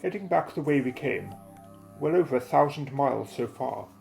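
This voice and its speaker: A man playing an unflappable, methodical explorer and airship pilot in an audio drama, speaking in a measured, old-fashioned, explanatory way. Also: medium pitch, lively intonation, gravelly, a little mellow, soft background music. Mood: businesslike.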